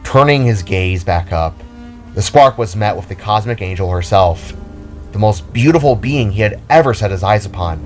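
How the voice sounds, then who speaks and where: A man with a fairly low voice narrates a dramatic tale in a solemn manner, pausing for effect. Music plays behind him along with the sound of rain. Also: lively intonation. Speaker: narrator and reader of own audio drama. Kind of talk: dramatic scripted storytelling narration.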